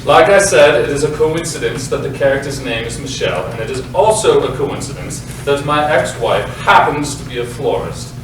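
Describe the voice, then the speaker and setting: A man's voice: a little high, animated, normal speed, hardly any pauses, lightly acted. A man playing a pompous, defensive playwright who addresses the audience in a comic theatrical monologue. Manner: pompous, self-important. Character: pompous, defensive playwright presenting his lurid works. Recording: very echoey noisy hall, far off.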